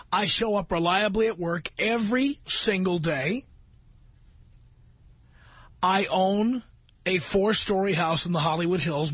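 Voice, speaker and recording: A middle-aged American man hosting talk radio with an opinionated monologue, speaking in an emphatic, sardonic way with deliberate phrasing. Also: high-pitched, husky. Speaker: radio talk show host. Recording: dull, roomy radio recording with music.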